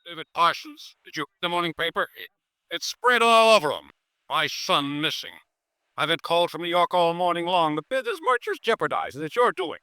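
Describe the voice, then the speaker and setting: Radio drama role: cold, blustering elderly businessman father, commanding and animated, very dry sound. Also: a little high, a little fast, no echo, low-quality recording. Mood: outraged. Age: older. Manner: gruff, scornful, commanding. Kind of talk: old-time radio drama acting.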